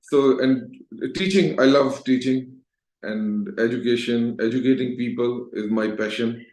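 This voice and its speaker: A man's voice: medium pitch, a little slow, a little mellow. A middle-aged man with a Pakistani accent, telling his own story conversationally as an interview guest. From Lahore, Pakistan. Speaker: interview guest, Toastmaster educator. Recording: echoey, roomy voice sound.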